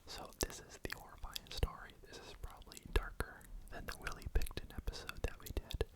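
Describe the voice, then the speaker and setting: Man whispering a true crime story. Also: fairly quick. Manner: unvoiced whisper throughout, casual with restarts. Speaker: ASMR true crime host.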